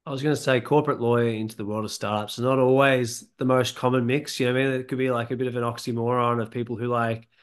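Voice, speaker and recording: A man's voice: medium pitch, little pitch movement, fast, few pauses, clean tone. Enthusiastic male podcast co-host. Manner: friendly, enthusiastic, loose phrasing with "like". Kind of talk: casual podcast interview.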